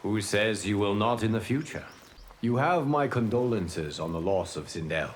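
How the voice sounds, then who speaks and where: An actor plays a wise male guardian deity in a fantasy game. He speaks in a lowish, solemn, formal voice over faint noise. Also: animated, natural voice. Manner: formal, measured. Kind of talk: video game character dialogue.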